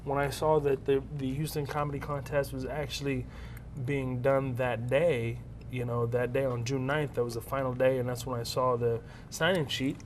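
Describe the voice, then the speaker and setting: A man in his thirties, a stand-up comedian, telling his story in an interview, lively and acting out what people said. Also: medium pitch, moderate pace, a little hiss or hum. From United States. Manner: animated storyteller, acts out quoted voices.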